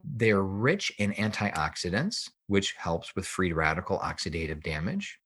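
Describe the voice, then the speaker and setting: Guy with a lowish voice being interviewed, explains stuff like a teacher, really stresses his points. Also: animated, unhurried, a bit bright, slightly rough. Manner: emphatic, didactic, asks and answers own questions. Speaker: podcast guest, health researcher and author.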